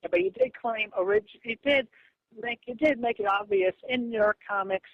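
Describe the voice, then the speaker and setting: A man with a noticeably high voice speaks in a phone conversation. He argues haltingly, stammering and repeating himself. The sound is dull and dry, as heard through a lo-fi phone recording. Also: no room sound. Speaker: comic creator on a phone call. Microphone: phone call. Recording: muffled lo-fi phone call audio.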